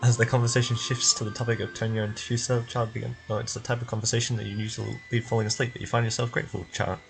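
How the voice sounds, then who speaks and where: A man's voice: mid-range voice, flat delivery, rapid-fire, creaky, over music, poor audio. A man streaming a video game, casually reading on-screen text aloud for his viewers.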